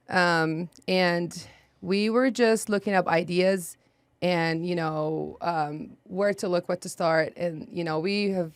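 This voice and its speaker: Low-voiced woman from Iraq, chatty guest. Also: no room sound. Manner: chatty, frequent "you know" and "um". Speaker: guest, sign business owner. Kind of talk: casual interview. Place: podcast studio.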